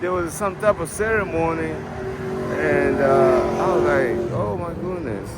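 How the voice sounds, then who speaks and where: A middle-aged man with a Tanzanian accent, answering a street interview in a halting way with many restarts. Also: on the high side. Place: city street outdoors. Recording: outdoor street noise.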